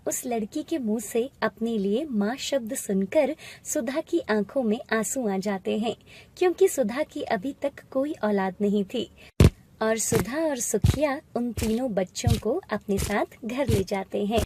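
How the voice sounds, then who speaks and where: A woman's voice: fairly high pitch, measured pace, background noise. A woman narrating a scripted moral story as the storyteller.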